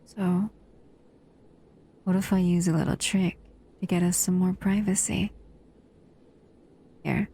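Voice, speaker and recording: A woman's voice: lowish voice, fairly even intonation, slow pace, gravelly, long pauses, dark tone, slightly rough, exaggerated voice, low-quality recording. A woman voicing a captive female shadow monster who soothes and cuddles the listener in a close, intimate audio roleplay. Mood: tender, soothing. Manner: soothing, intimate, often whispering.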